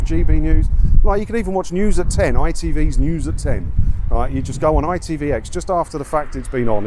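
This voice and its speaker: A man vlogging as he walks, talking casually to his viewers and repeating points for emphasis, with rhetorical questions tagged on. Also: on the high side. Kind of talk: casual chat to viewers, reading out and answering comments. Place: outdoors, walking around a seaside town. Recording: outdoor wind and traffic noise on mic. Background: wind, passing cars, street ambience.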